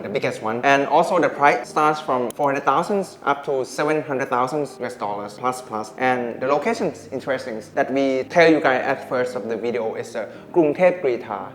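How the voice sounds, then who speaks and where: A man's voice: medium pitch, a little hiss or hum. Thai-accented man explaining loosely. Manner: loose, filler-heavy explaining. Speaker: co-host of a house tour channel. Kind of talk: casual house tour chat.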